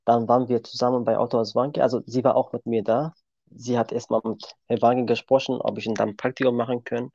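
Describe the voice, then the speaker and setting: Man in his twenties, mellow voice, dull lo-fi recording. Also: medium pitch, level tone, a little fast, creaky, no echo. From Syria. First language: Kurdish. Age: 20s. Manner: plain, simple sentences. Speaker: guest. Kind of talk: podcast interview.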